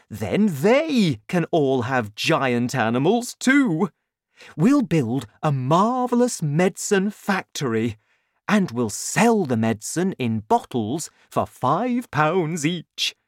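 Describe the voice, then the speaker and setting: Woman putting on an excitable, bossy storybook dad, loud and swooping. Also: rumbling low, dry recording, studio quality, heavily acted. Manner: emphatic, exclaiming, insistent. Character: excitable, bossy middle-aged father, bursting with grand schemes. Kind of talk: children's storybook character voice.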